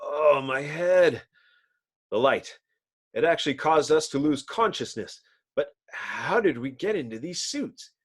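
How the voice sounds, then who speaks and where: A man voicing an excitable male comic-book hero in a breathless, exclamatory, melodramatic reading. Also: on the high side, a little slow, husky. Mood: dazed, alarmed. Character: excitable, heroic male scientist and young sidekick.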